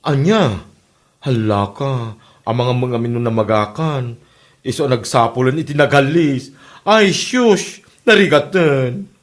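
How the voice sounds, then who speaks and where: A man's voice: mid-range voice, slow. A man playing a blunt, scoffing confidant in an Ilocano comedy drama, exclaiming as he advises, with an Ilocano accent. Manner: animated, exclaiming.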